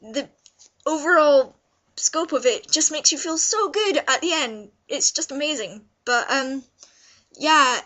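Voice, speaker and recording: Young female vlogger, notably high and bright voice, informal rambling enthusiasm for a casual review. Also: expressive, measured pace. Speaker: vlogger reviewing visual novels. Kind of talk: casual review chat to camera.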